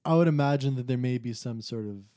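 A man's voice: mid-range voice, fast, dark tone, no room sound. A man co-hosting a casual conversation, putting in questions and wry, wordy remarks with dry humour. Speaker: podcast co-host. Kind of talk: casual chat, interjecting and questioning.

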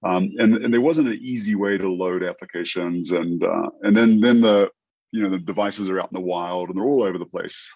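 Halting New Zealander with an American accent. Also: male voice, low-pitched, fairly even intonation, darkish tone, no room sound, highs cut off. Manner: halting, many restarts and fillers. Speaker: guest, tech entrepreneur. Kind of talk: casual interview chat.

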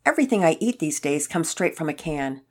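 A woman voicing a sweet, frail elderly man in a story, with a gentle, folksy, rambling manner. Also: deep voice, very animated, fairly quick. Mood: grateful, folksy. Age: older. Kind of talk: acted character dialogue in children's story narration.

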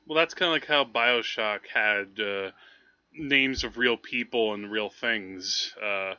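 A man with a forceful, bright voice talks casually as a podcast co-host. He is animated and tends to hesitate mid-thought. The sound is dry and close, a little lo-fi. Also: on the low side, slow pace, clean tone, no room sound. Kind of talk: casual movie chat.

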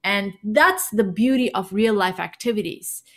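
A woman with a slight Indian accent, talking as a doctor guest in a podcast interview. Her voice sits at a middle pitch, and she explains fluently and enthusiastically. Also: a little slow. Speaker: physician guest.

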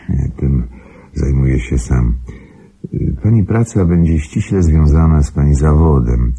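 An actor playing a grave, secretive wealthy husband in a radio drama, speaking formally with measured control in a gravelly, husky voice. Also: male voice, very deep voice, animated, warm tone, heavily acted. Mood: formal, guarded. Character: grave, secretive wealthy husband guarding his invalid wife.

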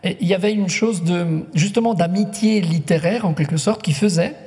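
A man's voice: sits high, warm tone, long reverb tail. A man whose first language is Italian, moderating a literary talk in French, speaking thoughtfully with frequent restarts. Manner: thoughtful, halting, many restarts. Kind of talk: hesitant conversational interview.

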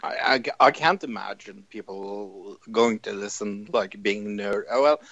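A man's voice: fairly low pitch, a little slow, a bit bright, dry recording. A man co-hosting a casual movie chat, telling a rambling story with loose phrasing. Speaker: podcast co-host. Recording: dull lo-fi mic.

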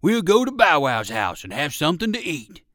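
Storybook old circus dog, played by a man: eager, sociable, hearty, lively intonation, dry sound. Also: fairly high pitch, moderate pace, no room sound. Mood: eager, friendly.

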